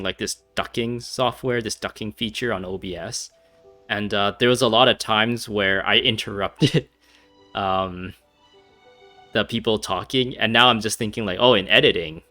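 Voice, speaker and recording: A young man commentates casually on a game. His voice is fairly low but forward and bright, recorded dry over faint music. He rambles and hesitates in an easygoing, chuckly way. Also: no room sound. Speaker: gaming YouTuber. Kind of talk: casual let's-play commentary.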